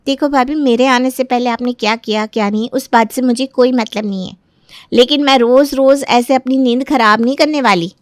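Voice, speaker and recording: A woman's voice: on the high side, brisk, dry recording, studio quality, lightly acted. A woman playing a defiant, crafty young daughter-in-law who argues and complains in a dramatized moral story. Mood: indignant. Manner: argumentative, protesting.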